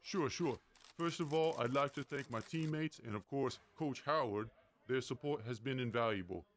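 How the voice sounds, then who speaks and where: A man playing a polite champion athlete giving measured thanks in a comedic radio play. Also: mid-range voice, a little hiss or hum, close to an everyday voice. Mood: gracious.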